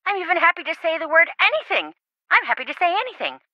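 A woman voicing a quirky, sarcastic, excitable young reality-show contestant in a video game, with playful theatrical quips. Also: very high voice, fast talker, dry recording, lo-fi sound. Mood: animated, quippy.

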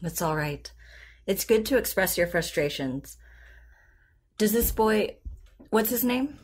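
An actress playing a patient, professional therapist in an audio drama, asking questions in a measured, careful way. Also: female voice, medium pitch, animated, a little mellow, slightly rough. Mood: calm, firm. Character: composed, patient woman therapist, probing and professional. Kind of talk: naturalistic audio drama dialogue.

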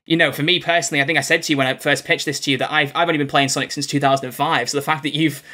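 A young man with a slightly high voice hosts an interview podcast over a video call. He talks very quickly, in a chatty, effusive and rambling way. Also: fairly even intonation, pushed and bright. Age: young adult. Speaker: podcast host. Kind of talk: interviewing a guest. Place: small home room, video call.

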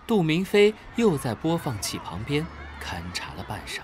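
A man narrating a children's audio drama in a calm storytelling manner. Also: on the high side, normal speed, slight background noise.

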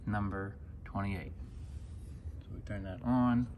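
A man's voice: on the low side, steady tone, slow pace, narrow, tinny audio. A man giving a casual hands-on walkthrough as an instructor, in short plain step-by-step instructions, recorded on a handheld phone in an indoor booth. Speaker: instructor demonstrating equipment. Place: auditorium control booth, indoors. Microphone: handheld phone filming the equipment. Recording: noisy handheld phone recording with room ambience.

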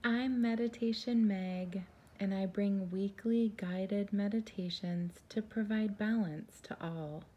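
A woman leading a guided meditation in a soft, soothing, gentle and unhurried voice, breathy at times. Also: mid-range voice, fairly even intonation, lo-fi sound.

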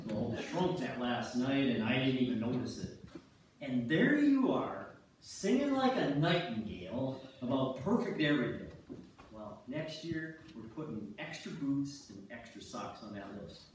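A man's voice: medium pitch, very animated, moderate pace, lightly acted. A man acting a chilled, grumbling ice fisherman who ribs his buddies in an amateur stage play reading. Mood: grumbling, teasing. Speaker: amateur reader's theater performer. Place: church sanctuary stage, filmed from the pews. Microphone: distant camera in the audience. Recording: echoey church hall from far off, faint noise.

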